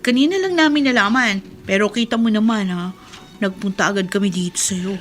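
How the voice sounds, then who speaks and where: A woman's voice: mid-range voice, fairly quick, a bit bright, natural voice. An actress playing a caring female office worker, earnest and polite, in a radio drama. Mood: sincere. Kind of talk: Tagalog radio drama acting. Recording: radio drama with background noise.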